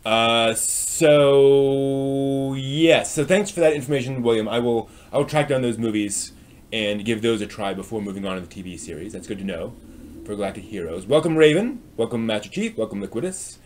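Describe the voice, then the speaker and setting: A man's voice: medium pitch, moderate pace, a little mellow. A man hosting a live stream, chatting casually and ramblingly as he responds to viewers. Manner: rambling, reacting to chat as it comes.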